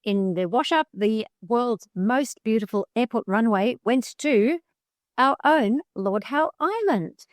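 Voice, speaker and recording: Woman, podcast co-host, high-ish voice, lively and playful delivery, dry close mic. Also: slow pace, no room sound. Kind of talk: casual chat.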